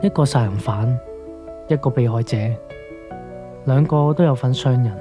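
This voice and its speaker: A sombre male investigator played by a man speaks in a radio drama. His delivery is grave and reflective at a medium pitch, with clear music behind him. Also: normal speed, close to an everyday voice. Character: sombre, reflective police investigator seeking the truth.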